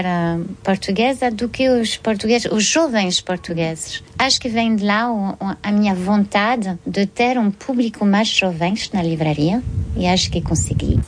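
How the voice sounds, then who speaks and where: Woman bookseller, halting interview delivery, mid-range voice. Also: mid-range voice, a little hiss or hum. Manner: hesitant, many fillers and restarts. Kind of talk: interview answers.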